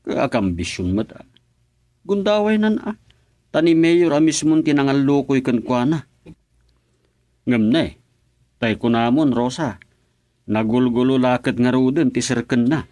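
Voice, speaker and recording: An actor playing a husband who calmly reassures his wife in a radio drama. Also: mid-range voice, a little slow, long pauses, dry recording, close to an everyday voice. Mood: reassuring.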